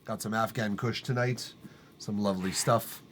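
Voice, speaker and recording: A man's voice: on the low side, steady tone, normal speed, a bit bright, slight background noise. Male streamer with a tenor voice, laid-back, profane and rambling, with lots of ums. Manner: loose, profane, rambling with fillers and restarts. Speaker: livestream music feedback host. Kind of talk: casual live-stream chat. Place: acoustically treated home studio room.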